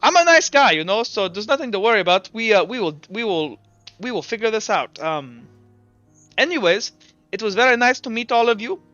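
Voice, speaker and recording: Evasive Inquisition doctor for tabletop fantasy, male player, bright, halting, soothing on the surface. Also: sits high, big pitch swings, no room sound, muffled recording, faint music behind, exaggerated voice. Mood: breezy. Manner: hesitant, halting, reassuring.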